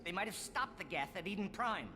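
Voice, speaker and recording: A man's voice: high-pitched, lively intonation, fairly quick, sharp tone, a little hiss or hum, exaggerated voice. A man voicing a bitter, zealous alien scientist in a video game, articulate and accusatory, a disillusioned former student. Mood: impassioned. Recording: game dialogue over background music.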